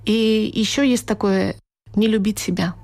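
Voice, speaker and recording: A woman's voice: mid-range voice, steady tone, unhurried, a little mellow. A woman writer from Ukraine answering interview questions in a reflective, personal, conversational way, repeating phrases for emphasis.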